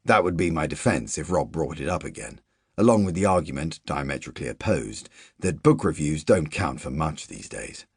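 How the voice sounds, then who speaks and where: A man reading a literary novel aloud as a first-person audiobook narrator, measured and even, dropping to quiet asides in the dialogue. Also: extremely low pitch, husky, no echo, studio quality.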